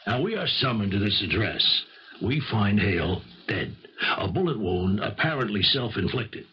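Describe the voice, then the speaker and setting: An American actor playing a shrewd, insinuating police lieutenant in a 1970s radio mystery, folksy and wry, full of leading questions. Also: male voice, on the low side, big pitch swings, normal speed, husky, heavily acted. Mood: wryly insinuating. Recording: muffled, hissy 1970s radio recording.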